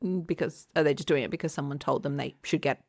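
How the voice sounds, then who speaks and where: Low creaky woman in her forties. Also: fast, darkish tone, dry recording, studio quality. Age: 40s. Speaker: podcast host. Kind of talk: casual interview chat.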